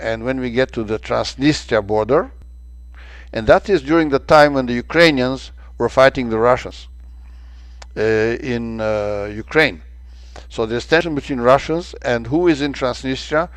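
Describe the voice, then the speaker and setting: An older man from Romania presents a travel lecture over slides, speaking in a middle pitch. He talks haltingly, filling gaps with frequent hesitations and restarting his phrases. His voice is recorded close and dry, with no room sound. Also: dry recording.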